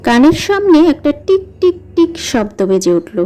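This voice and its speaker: High, swooping woman narrating horror. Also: very animated, moderate pace, warm tone, a little hiss or hum. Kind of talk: scripted first-person horror narration. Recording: music behind the voice. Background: background music.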